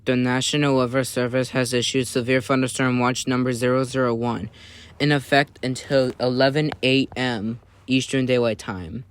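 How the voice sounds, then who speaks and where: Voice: mid-range voice, a bit bright. A person reading scripted emergency alert announcements in the manner of a civil and weather warning broadcast.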